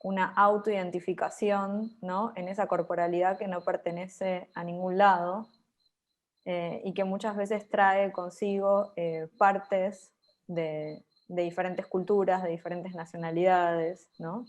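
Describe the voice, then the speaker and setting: A woman hosting a virtual conference, earnest and pausing often with fillers, over a video call. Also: on the low side, little pitch movement. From Argentina. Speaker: conference host.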